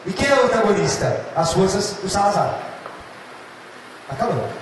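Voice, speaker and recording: A man from Brazil with a São Paulo accent, giving a lively, theatrical literature lecture and addressing students directly. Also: sits high, moderate pace. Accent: São Paulo state Brazilian Portuguese. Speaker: literature teacher. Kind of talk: animated exam-prep lecture. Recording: echoey hall, far from mic, noisy.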